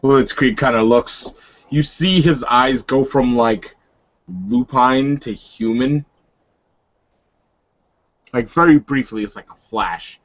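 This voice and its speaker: A man's voice: medium pitch, slow pace, long pauses, highs cut off. A man giving casual, improvised narration for a tabletop roleplaying game.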